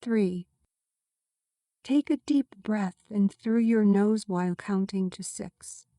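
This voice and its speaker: A woman reading a scripted guided meditation as a guide, giving soothing, measured instructions. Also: mid-range voice, mellow, dry recording, clean studio sound.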